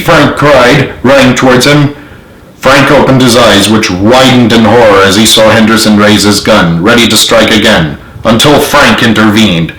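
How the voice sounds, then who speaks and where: A man's voice: mid-range voice, fairly bright tone. Echoey male author narrating his story. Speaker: author reading own novel. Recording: roomy, echoey home recording.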